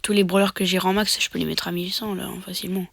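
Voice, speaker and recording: A man's voice: high-pitched, flat delivery, fast talker, vocal fry, fairly bright tone. A man with a cold, sniffling as he casually commentates his own match into a phone mic, muttering half-whispered asides and mock boasts. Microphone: phone microphone.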